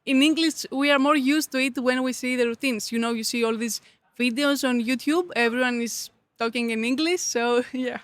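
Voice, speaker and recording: Greek lady being interviewed, greek accent, highish voice, pauses to find words, no room echo. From Greece. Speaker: interview guest, coffee competitor. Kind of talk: casual interview answers.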